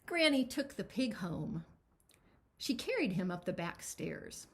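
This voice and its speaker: A woman reading a picture book aloud as its author, with expressive, dramatic storytelling. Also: mid-range voice, a little slow. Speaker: children's author reading their own book.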